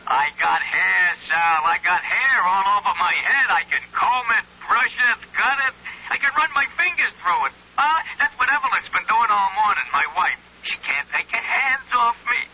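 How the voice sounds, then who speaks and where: An excited male barkeep gushes in an old radio play. His voice is very high and swoops around. The recording is muffled. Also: normal speed, breathy edge, exaggerated voice. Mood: overjoyed. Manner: excited, gushing. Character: overjoyed, gushing married male bar owner. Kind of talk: vintage radio drama acting.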